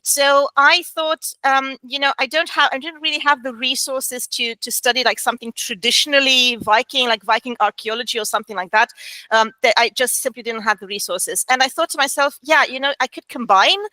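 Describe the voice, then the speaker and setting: A woman in her thirties with a Romanian accent speaks as an interview guest, pausing often on fillers and laughing lightly. Her voice sits a little high and sounds bright. The sound is dry and close. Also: no echo, rough recording. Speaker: guest historian. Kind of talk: interview answers.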